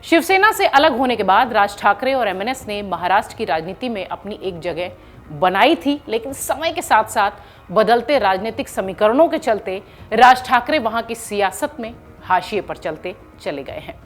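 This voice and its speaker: A woman's voice: medium pitch, expressive, normal speed, faint music behind. A woman news presenter narrating an explainer in an emphatic, explanatory manner, like a reporter walking viewers through a story.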